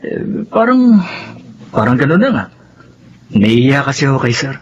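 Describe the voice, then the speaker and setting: A man's voice: medium pitch, big pitch swings, normal speed, slightly rough, lo-fi sound. An actor playing a loyal, humble male employee who speaks deferentially and hesitantly, often starting with "eh". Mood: earnest. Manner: deferential and hesitant, full of "eh" and polite "po". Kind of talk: radio drama acting.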